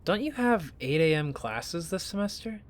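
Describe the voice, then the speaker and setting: A man's voice: fairly high pitch, big pitch swings, moderate pace. Man acting a pushy, teasing young student. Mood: bantering. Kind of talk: naturalistic audio drama acting.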